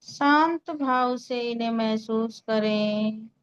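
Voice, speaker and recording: A woman leading a guided meditation in a calm, soothing way, giving unhurried instructions with long pauses. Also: on the high side, clear, resonant tone, highs cut off. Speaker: meditation guide and Reiki healer.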